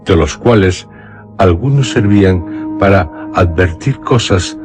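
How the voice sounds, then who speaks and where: Very deep, solemn male storyteller. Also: over music. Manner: solemn, deliberate, with dramatic pauses. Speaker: radio storyteller. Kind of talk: dramatic scripted literary narration.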